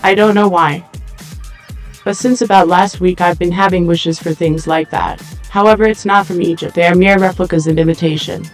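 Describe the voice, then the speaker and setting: Audio drama role: seductive android dancer played by a woman, flat and deliberate delivery, formal phrasing, music underneath. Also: lowish voice, lightly acted. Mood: detached, matter-of-fact. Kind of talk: English-language audio drama dialogue.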